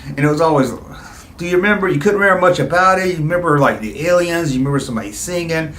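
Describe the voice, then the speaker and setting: A man's voice: fairly high pitch, fast, fairly bright tone, echoey room, a little hiss or hum. A middle-aged man talking casually and nostalgically to his viewers, with an enthusiastic, rambling, conversational manner. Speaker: YouTube video host.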